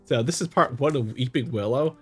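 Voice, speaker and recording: A man's voice: mid-range voice, a little fast, slightly rough. Man, mid-range voice, casual gaming co-host, joking and teasing, music playing behind. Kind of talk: casual playthrough commentary.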